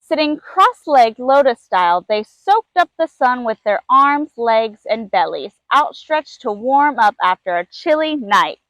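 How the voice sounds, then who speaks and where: Female storybook narrator, high-pitched, reading aloud for children, recorded dry. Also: very animated, measured pace, few pauses, a bit bright, no echo, rough recording. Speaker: zoo keeper reading a story.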